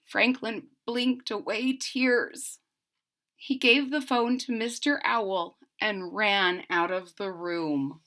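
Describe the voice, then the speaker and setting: Female children's storybook reader: unhurried, bright, expressive, with explanatory asides. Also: fairly low pitch.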